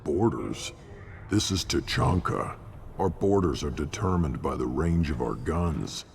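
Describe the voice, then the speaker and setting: Very deep gravelly alien scout commander. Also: male voice, expressive, measured pace, heavily acted. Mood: gruff, matter-of-fact. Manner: blunt, matter-of-fact. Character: gruff battle-hardened alien clan scout commander, blunt and grim. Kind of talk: video game dialogue. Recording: long reverb, clear background noise.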